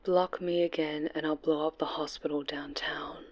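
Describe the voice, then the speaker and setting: A woman playing an obsessive, menacing supervillain stalker in a yandere ASMR roleplay, breathy and often whispering, with a syrupy, taunting delivery. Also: low voice, little pitch movement, normal speed, a little mellow.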